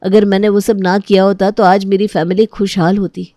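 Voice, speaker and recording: Mellow, apologetic, worried spouse, acted by a woman. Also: medium pitch, little pitch movement, moderate pace, no room sound, studio quality, natural voice. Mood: worried, pleading. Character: apologetic, worried husband of a pregnant wife. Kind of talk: dramatized Hindi family story acting.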